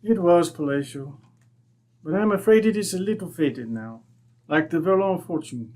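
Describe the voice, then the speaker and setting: A man playing a proud, courteous French viscount with a French accent and halting, formal English in a radio drama. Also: on the high side, lively intonation, a little mellow, natural voice. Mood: wistful, polite. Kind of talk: old-time radio drama acting.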